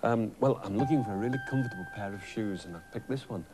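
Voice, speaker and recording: A man's voice: fairly low pitch, quick pace, music in the background, natural voice. An actor playing a genial, polite older English boatman in children's television, musing aloud courteously. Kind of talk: children's TV drama.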